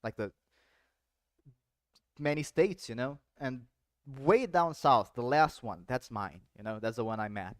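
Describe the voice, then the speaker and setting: A man hosting a podcast, talking in relaxed, rambling English with frequent asides and an occasional swear. His voice sits at an ordinary middle pitch. The recording is dry with no room echo. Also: measured pace, pauses often. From South Brazil. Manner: loose, swearing, explains with many asides. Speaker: podcast host. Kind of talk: casual chat.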